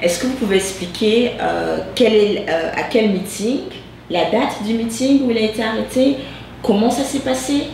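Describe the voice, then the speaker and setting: A woman's voice: a little high, moderate pace, vocal fry, a little mellow. A woman hosting a web TV interview, asking questions with many hesitations and restarts. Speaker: host. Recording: echoey, distant mic with hum.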